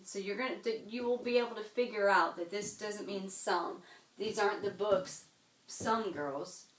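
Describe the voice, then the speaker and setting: A woman's voice: medium pitch, moderate pace. A woman teaching a French grammar lesson, explaining patiently step by step and often checking in with "okay?". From United States. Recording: echoey room, voice from a distance.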